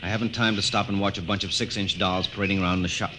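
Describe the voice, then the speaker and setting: A man's voice: low voice, flat delivery, fast, close to an everyday voice. An actor playing a busy, brusque army colonel and family man in an old radio drama. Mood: hurried, brusque. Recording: noisy vintage radio broadcast.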